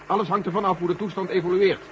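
An actor playing a brusque, authoritative general in a 1970s science fiction radio play. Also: male voice, high-pitched, fairly even intonation, fast, close to an everyday voice. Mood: decisive, instructing. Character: brusque male American general in charge of space mission. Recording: dull, noisy vintage radio play recording.

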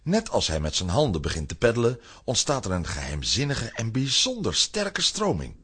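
A man with a husky voice narrating a scripted children's radio play as an animated storyteller. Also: fairly low pitch, normal speed.

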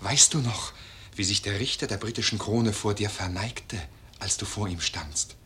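A man's voice: lowish voice, normal speed, sharp tone, slight background noise. A man voicing an insistent, taunting bullet that addresses a dying man in a declamatory radio play. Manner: insistent, pointed.

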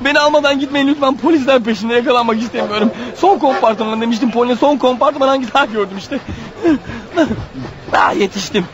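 Radio play lead: wounded runaway, very high strained pitch, breathless urgent muttering, dull-sounding recording. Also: male voice, quick pace, a crowd in the background. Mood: frantic. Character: desperate wounded fugitive man, exhausted, racing to his wife. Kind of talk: radio theatre acting, inner monologue. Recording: muffled radio drama recording. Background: music underneath.

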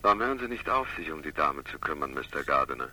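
An actor playing a suave, menacing criminal in a 1960s German radio crime drama, raspy and ironically polite. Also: male voice, extremely low pitch, big pitch swings, quick pace, a crowd in the background, poor audio. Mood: suavely threatening. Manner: smooth-talking, ironic, condescending.

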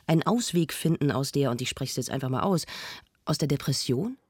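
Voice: medium pitch, expressive, a little fast, husky, no room sound, studio quality. An actor playing a searching, anguished intellectual discussant in a radio drama, probing and emphatic. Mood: probing, insistent.

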